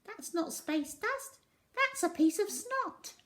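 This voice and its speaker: A woman's voice: extremely high pitch, expressive, takes it slowly, a bit bright, pitched above the performer's own voice, exaggerated voice. A woman voicing a dry, sceptical old lady who speaks bluntly. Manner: blunt and matter-of-fact.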